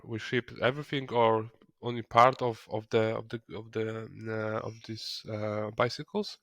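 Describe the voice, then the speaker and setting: Guy doing a software tutorial, kinda hesitant, repeats words, medium pitch, dry sound. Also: no room sound. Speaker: software trainer. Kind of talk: screen-recorded software tutorial, explaining step by step.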